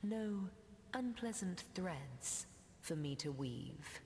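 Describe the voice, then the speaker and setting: An actress playing an ancient mystical seer in a fantasy game, speaking solemn, cryptic, archaic lines in a breathy voice that often sinks toward a whisper. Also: female voice, fairly low pitch, expressive, slow, a little mellow. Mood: grave, tender. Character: ancient mystical female seer who weaves threads of time. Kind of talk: scripted fantasy game dialogue. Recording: long cavernous reverb.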